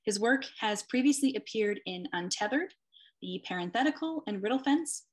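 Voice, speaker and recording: A woman's voice: mid-range voice, expressive, normal speed, rough recording. A woman editor hosting a video call launch, thanking a reader and introducing the next with hesitant fillers. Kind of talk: casual event hosting. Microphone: computer mic on a video call.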